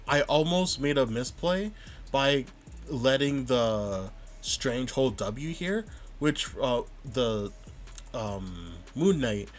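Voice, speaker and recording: A man calmly breaking down his own match footage, thinking aloud and stopping to rewind and check his plays. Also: medium pitch, slight background noise. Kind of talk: casual vod review commentary.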